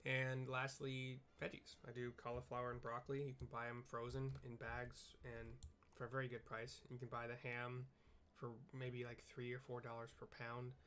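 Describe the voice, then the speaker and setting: A man's voice: medium pitch, completely flat delivery, normal speed, fairly bright tone, a little hiss or hum. A man rambling casually as he narrates his gameplay, drifting into side topics. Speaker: gameplay streamer narrating a game.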